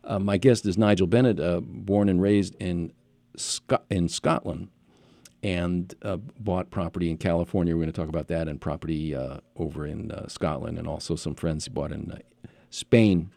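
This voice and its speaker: A man's voice: deep voice, steady tone, moderate pace, vocal fry, dark tone, no echo. A man hosting an interview podcast on a headset mic, chatty and rambling with many follow-up questions. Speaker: podcast host. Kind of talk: casual interview chat.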